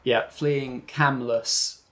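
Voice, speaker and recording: A man's voice: mid-range voice, fairly even intonation, drawn-out words, fairly bright tone. A man narrating a tabletop game as its game master. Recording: roomy, with music behind. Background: music.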